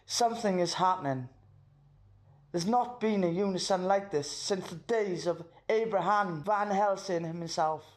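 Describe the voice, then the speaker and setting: A man's voice: high voice, measured pace. A man playing an earnest, worried slayer council member in an amateur audio drama, grave and urgent.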